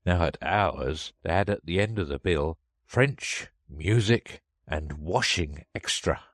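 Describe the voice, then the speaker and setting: A man performs a sorrowful storybook turtle with a very low, hollow voice. The creature sighs through its words and takes offence easily, with a pitch that swells and drops expressively. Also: lively intonation, no room sound, studio quality, exaggerated voice. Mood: sorrowful, superior. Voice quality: deep, hollow tone. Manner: slow, mournful, sighing. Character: melancholy, sighing old Mock Turtle, easily offended. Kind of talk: storybook character reading.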